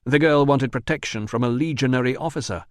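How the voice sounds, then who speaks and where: A man voicing a calm, dry-witted male investigator in an audiobook, level and sardonic. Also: mid-range voice, brisk, no echo, lightly acted. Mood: cool. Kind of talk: audiobook dialogue.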